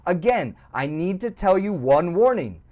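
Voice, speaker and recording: Slow, lively-voiced woman teaching English. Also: fairly low pitch. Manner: encouraging, repeats and prompts the learner. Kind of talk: slow, clearly spoken language lesson.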